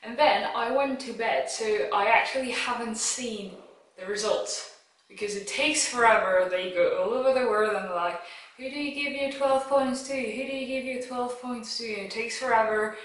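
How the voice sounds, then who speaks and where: A woman's voice: on the high side, normal speed. A woman vlogging alone at home, chatting casually and bluntly to camera with lots of "like" fillers. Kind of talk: casual reaction chat to camera. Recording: very echoey room, a bit far from mic.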